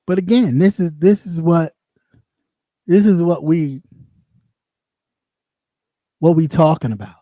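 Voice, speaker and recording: A man's voice: on the high side, slow, long pauses, warm tone, no echo. Sassy man with a light voice. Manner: blunt and sassy, talks in loops and repeats himself. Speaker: live stream host; former radio host. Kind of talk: casual rant on a livestream.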